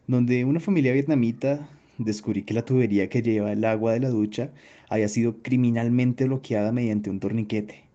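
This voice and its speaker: An actor playing an earnest, long-winded plumber who explains things in a wordy, pedantic way in a radio drama. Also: male voice, medium pitch, warm tone, lightly acted. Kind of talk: radio drama narrative monologue.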